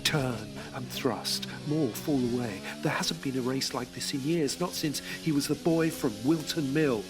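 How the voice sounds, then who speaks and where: A man's voice: medium pitch, a little slow, music in the background. A man giving scripted dramatic narration in an intense, rhythmic way. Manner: intense, rhythmic, second-person at times.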